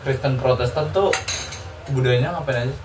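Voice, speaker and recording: A man's voice: mid-range voice, moderate pace, far from the mic, noisy background. Teenage boy, from Central Java, curious casual chat, off-mic, echoey. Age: teens. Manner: chatty, asks questions.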